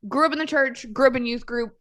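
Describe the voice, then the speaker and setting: Emphatic high-voiced woman guest. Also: steady tone, a bit bright. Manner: emphatic, candid, quick to exclaim. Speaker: podcast guest, author; mother. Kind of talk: casual faith conversation.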